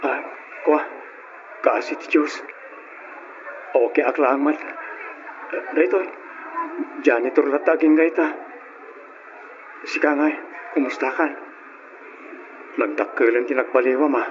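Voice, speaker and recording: A man playing a humble, polite young janitor in an old radio drama, with a husky voice. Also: mid-range voice, measured pace, long pauses, lo-fi sound, noisy background, natural voice. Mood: awkward, surprised.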